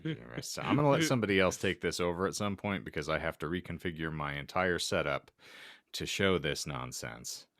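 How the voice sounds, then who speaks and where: A man hosting a podcast, wryly bantering and reading fan fiction aloud with halting, mock-serious delivery. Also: low-pitched, expressive, fairly bright tone, dry recording, clean studio sound. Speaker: podcast host.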